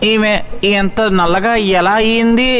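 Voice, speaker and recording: Disdainful high-voiced young groom played by a man. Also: fairly even intonation, normal speed, fairly bright tone. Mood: shocked, rejecting. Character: shallow, disdainful young bridegroom. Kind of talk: animated moral story dialogue. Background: faint music.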